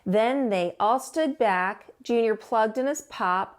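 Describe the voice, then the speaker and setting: A woman reading a picture book aloud as a teacher would at storytime, expressive, with theatrical drawn-out emphasis on words. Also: on the high side.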